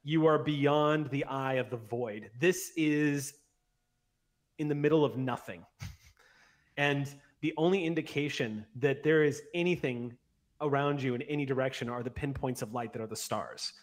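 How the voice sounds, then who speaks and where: A man in his forties running a tabletop roleplay session as game master, narrating descriptively with frequent pauses. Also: medium pitch. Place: indoor room at home, on a video call. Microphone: large microphone up close on a boom.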